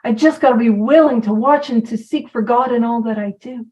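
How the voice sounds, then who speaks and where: A woman's voice: a little high, a little fast, dark tone, recorded from a distance, muffled recording. A woman giving an informal talk about recovery, chatty and self-deprecating, who drifts from point to point. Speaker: recovery program speaker.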